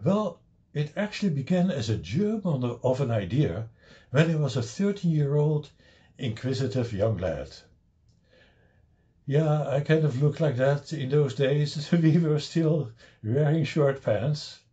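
An older man with a Dutch accent giving a slow, deliberate slideshow lecture on his own paper, with wry asides, recorded on a webcam at home. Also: fairly high pitch, lively intonation, mellow, muffled recording.

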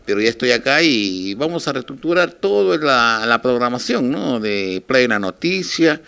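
An older man with a Peruvian Spanish accent speaks haltingly and informally in an interview, addressing his listeners. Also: mid-range voice, big pitch swings, gravelly, sharp tone, clear, resonant tone. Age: 60s or older. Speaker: radio station manager, interviewee. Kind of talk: informal televised statement to listeners. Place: small office, indoors. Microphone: handheld reporters' microphones held close.

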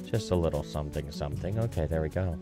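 A man's voice: very deep voice, fast talker, mellow. A man hosting a video game playthrough with casual commentary. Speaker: gaming host. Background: game music.

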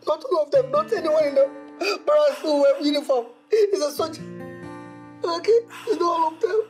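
A male actor's young Nigerian man laments in a high, whiny voice. He is theatrical and full of self-pity, as in a comedy skit, with guitar music clearly behind him. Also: normal speed, a little mellow. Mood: tearful lament. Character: self-pitying, dramatic young man lamenting lost love.

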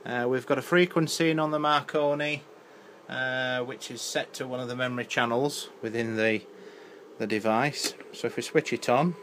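A man's voice: medium pitch, steady tone, moderate pace, fairly bright tone. A man giving an unscripted, matter-of-fact technical demonstration as a repair technician at a workbench. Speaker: electronics repair technician presenting. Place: indoor electronics workbench. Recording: noisy handheld camera audio in workshop. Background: clear background noise.

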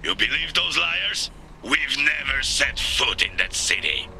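An actor playing a fierce, defiant middle-aged rebel commander in a video game, gruff, blunt and commanding. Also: male voice, mid-range voice, very animated, unhurried, loud music behind the voice. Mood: scornful defiance. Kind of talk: dramatic video game voice acting.

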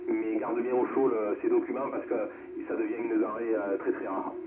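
A man's voice: on the low side, level tone, normal speed. A man moderating an amateur radio round-table in speech, heard over the air. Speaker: amateur radio net host. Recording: noisy, echoing, narrow-band radio reception.

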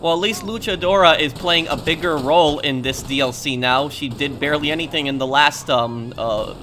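Bright-voiced man doing gameplay commentary. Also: on the high side, moderate pace. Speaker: gaming YouTuber. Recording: faint game vehicle noise behind.

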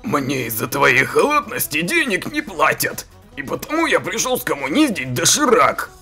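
A man putting on a gravelly bearded villain. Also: fairly high pitch, huge pitch swings, moderate pace, bright, forceful tone, soft background music, exaggerated voice. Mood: gruff. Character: bearded male villain, sneaky and crude. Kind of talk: amateur voice-over of a visual novel.

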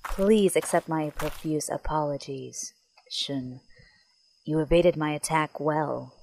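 A woman's voice: sits low, takes it slowly, gravelly, darkish tone, exaggerated voice, poor audio. A woman playing a disciplined, deadly young female warrior in an audio drama, formal, solemn and controlled. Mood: formal, apologetic.

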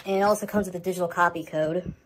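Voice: mid-range voice, fairly even intonation, quick pace, fairly bright tone, a little hiss or hum. An American speaker talking casually to a handheld camera indoors at home, showing items in a vlog update. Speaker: host of a home media collection update. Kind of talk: casual show-and-tell vlog.

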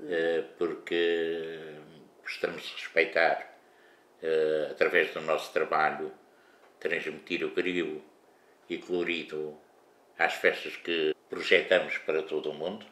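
A man's voice: rumbling low, little pitch movement, a little slow, a bit bright. A man in his sixties hosting a community TV show, speaking formally and measuredly with hesitations at a table in a living room. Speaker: community TV host. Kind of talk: formal talk to camera. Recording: echoey room.

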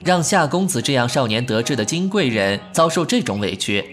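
An actor playing a proud, sharp-tongued but caring young man in an ancient-costume audio drama, huffy and scolding. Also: mid-range voice, very animated, a little fast, faint music behind. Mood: scolding, smug. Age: young adult.

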